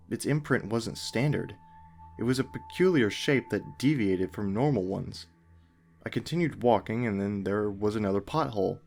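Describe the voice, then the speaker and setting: A man reading a scripted first-person horror story in a measured way, with dramatic pauses. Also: fairly low pitch, vocal fry. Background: music.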